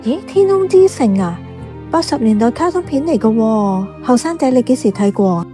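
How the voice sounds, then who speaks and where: An actress plays a chatty café woman in a radio drama, curious and teasing. Her voice is a little high with a soft, mellow tone, and light music plays along. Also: lively intonation, moderate pace, close to an everyday voice.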